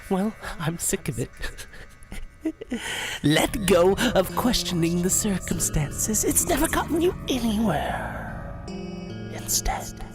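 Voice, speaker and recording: A woman playing a taunting, seductive, sinister inner voice of madness in an audio drama, theatrical and drawn out, with cackles and whispers. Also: medium pitch.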